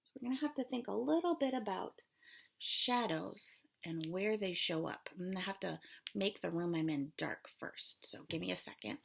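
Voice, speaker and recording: A woman's voice: mid-range voice, animated, moderate pace, gravelly. A woman elementary school teacher reading aloud and then patiently explaining a lesson to children step by step. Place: small room at home, darkened.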